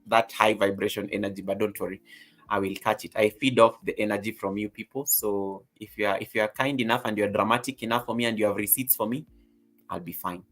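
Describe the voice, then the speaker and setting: Low-pitched Kenyan man chatting on livestream. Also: steady tone, fast, a bit bright, faint music behind. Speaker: guest on a livestream panel, content creator. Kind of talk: casual self-introduction on a livestream.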